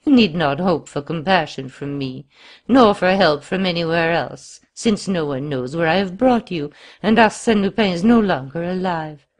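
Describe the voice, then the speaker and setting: A woman voicing a murderous crippled man who lurches between frantic pleading and furious shouting in an audiobook. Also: on the low side, lively intonation, moderate pace, lightly acted. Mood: cold menace. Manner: wild swings from pleading to shouting.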